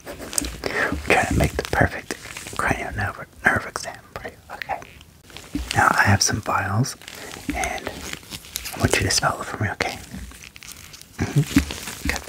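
A young man performs an ASMR medical roleplay in a breathy whisper. His voice sits low and he takes his time, giving gentle, reassuring little instructions close to the microphone. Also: unhurried, pauses often, rough recording. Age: young adult. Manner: gentle personal attention, short reassuring phrases. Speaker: ASMR performer playing an alien doctor. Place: small wood-panelled room indoors. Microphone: close microphone with furry windscreen.

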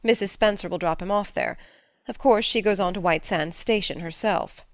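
A woman's voice: medium pitch, moderate pace, dry recording, clean studio sound, lightly acted. A woman playing a stern, practical middle-aged farm woman in a dramatic audiobook, talking in a plain, folksy, matter-of-fact way. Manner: plain-spoken, matter-of-fact, folksy old-fashioned phrasing.

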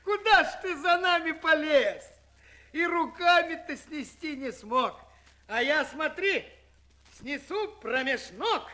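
A man's voice: extremely high pitch, animated, slow pace, pushed and bright, pitched above the performer's own voice, full character voice. An actor playing a bold, cunning peasant workman in a children's fairy-tale record, with a taunting, declamatory manner. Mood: mocking. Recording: 1970s vinyl record.

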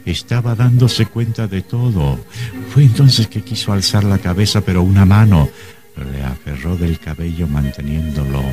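Very deep male horror narrator. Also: normal speed. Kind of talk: dramatic scripted radio horror narration. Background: music.

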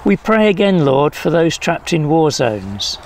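An older man solemnly reading prayers at a church service in measured phrases, recorded outdoors. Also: on the high side, very animated, a little mellow. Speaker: prayer leader. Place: outdoors in a leafy field or garden. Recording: faint outdoor background noise.

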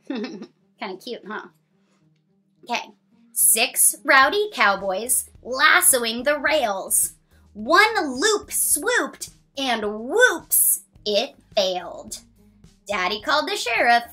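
A woman in her thirties reading a children's picture book aloud, expressive and sing-song, with drawn-out lines and counting along. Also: high voice.

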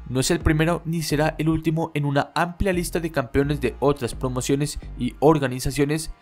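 A young man hosting his channel, reading a scripted sports narration in a steady, informative way into a studio microphone in a small room at home. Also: fairly high pitch, moderate pace, faint music behind. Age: young adult. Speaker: channel host. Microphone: studio microphone on stand.

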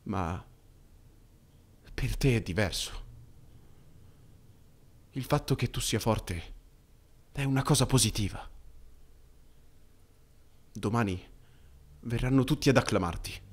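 A man's voice: mid-range voice, slow pace, pauses often, slightly rough. A man voicing a timid, apologetic young male wolf student in an anime fan dub, hesitant and stammering. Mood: subdued, sincere.

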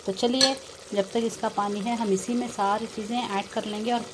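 A woman's voice: a little high, steady tone, moderate pace. Woman narrating home cooking over kitchen clatter. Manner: often addresses viewers directly, repeating instructions. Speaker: home cook and vlogger presenting a recipe. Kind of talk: casual step-by-step cooking narration. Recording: clear kitchen noise, clattering pots and sizzling. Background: pots clanking, frying and stirring.

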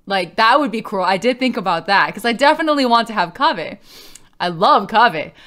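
A woman's voice: a little high, lively intonation, brisk, fairly bright tone. A young woman chatting casually and expressively as a streamer reacting to a game trailer, with a playful way of talking. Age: young adult. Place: indoor room, livestream webcam.

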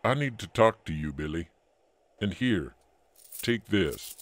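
A man's voice: low-pitched, expressive, measured pace, no echo. A man playing a friendly, earnest blind street informant in an old-time radio drama, talking in a folksy way.

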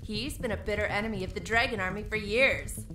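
A woman's voice: mid-range voice, fairly quick, over music, close to an everyday voice. A woman playing a confident, breezy young airship pilot in a fantasy audio drama. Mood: brisk. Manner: proud, breezy, self-assured.

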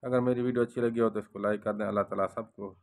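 A young man from Pakistan talks slowly to his handheld phone as he walks through a bakery. His low voice stays flat and even, and he keeps returning to prayers and blessings between his remarks. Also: creaky. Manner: slow, devout, repeats blessings often. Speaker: vlogger. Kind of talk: casual walk-through vlog with prayers. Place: bakery shop indoors.

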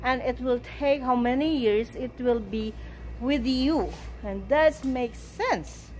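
Woman, Filipino accent, Tagalog native, higher voice, slow pace, casual vlog chat, clear background noise. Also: a little mellow. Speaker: vlogger. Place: large busy stores and a restaurant. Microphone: phone at arm's length. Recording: noisy stores, handheld phone.

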